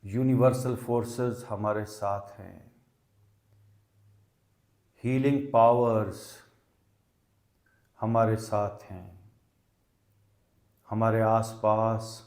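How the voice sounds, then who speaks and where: A man leading a guided meditation as a yoga teacher, deliberate and repetitive, with long pauses between phrases. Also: lowish voice, fairly even intonation, a little mellow. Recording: roomy, echoing sound.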